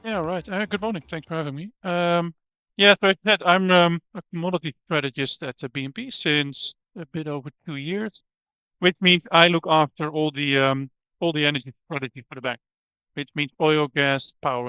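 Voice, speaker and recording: A man with a Dutch accent, speaking as an energy strategist guest and explaining things in a thoughtful way, with many ums and restarts, often trailing off quietly at the end of a phrase. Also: fairly high pitch, steady tone, normal speed, clear, resonant tone, no echo, dull audio. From Netherlands. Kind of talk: conversational expert explanation.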